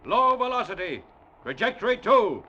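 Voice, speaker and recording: An actor playing a Martian squad officer calling out clipped military commands in an old radio drama. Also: male voice, high-pitched, very animated, moderate pace, pushed and bright, dull audio, full character voice. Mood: commanding. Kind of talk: 1940s-50s radio acting.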